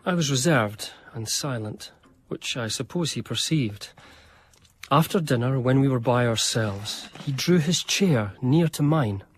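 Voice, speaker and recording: An actor playing an earnest, deferential, easily wounded gentleman who narrates and converses formally in a period radio drama. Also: mid-range voice, expressive, measured pace, husky. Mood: wounded, recounting. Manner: polite, articulate, formal.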